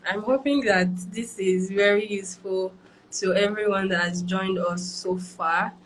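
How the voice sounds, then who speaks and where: A woman hosting a live video chat, speaking slowly as she addresses the viewers who have joined. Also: medium pitch, steady tone, low-quality recording. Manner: listens with short murmured agreement, slow wrap-up. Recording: faint background noise.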